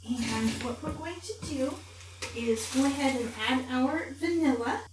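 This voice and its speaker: A woman's voice: sits high, measured pace. A middle-aged woman hosting a homely, chatty recipe demonstration to camera in a grandmotherly storybook manner. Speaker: cooking show host in character as Mrs. Claus. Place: home kitchen, indoors. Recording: very echoey, distant mic, faint room noise.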